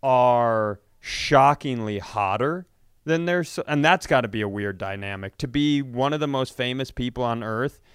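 A man chatting casually as a comedy podcast co-host, telling self-mocking, sweary stories in an animated way. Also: medium pitch, normal speed, clear, resonant tone, no room sound, clean studio sound.

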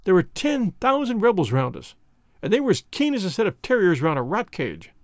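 A man's voice: sits high, sing-song, brisk, dry recording, studio quality. A man reading an audiobook, voicing a bitter, twisted former soldier with a vehement, impassioned delivery. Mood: bitter storytelling.

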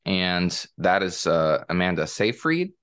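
Man, low voice, casual panel chat, halting with lots of ums. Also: very animated, fairly bright tone, clear, resonant tone. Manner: hesitant, many fillers and restarts. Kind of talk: casual podcast chat about TV.

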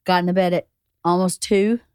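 A hoarse woman hosting a casual podcast chat, teasing and asking quick questions. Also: lowish voice, steady tone, darkish tone, dry recording, clean studio sound. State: hoarse, partly lost voice. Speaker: podcast host.

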